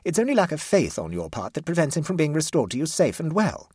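A man's voice: on the high side, wildly swooping pitch, quick pace, darkish tone, husky, no echo, studio quality, voice pushed up, full character voice. A man voicing an earnest, stubborn woman neighbour in a storybook, preachy and defiant about her beliefs. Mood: preachy certainty.

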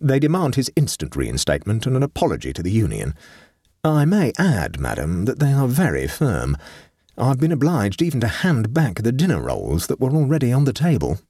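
Storybook butler, voiced by a male narrator: solemn, formal, deliberate, middle-pitched. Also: wildly swooping pitch, darkish tone, husky, dry recording, studio quality, exaggerated voice. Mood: grave. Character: grave, formal butler, an adult man.

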